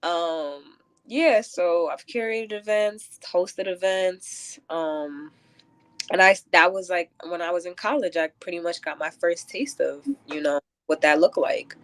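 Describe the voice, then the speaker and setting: A woman's voice: lowish voice, measured pace. A woman talking earnestly and reflectively as a guest in a casual conversational interview. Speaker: interview guest, event curator and poet.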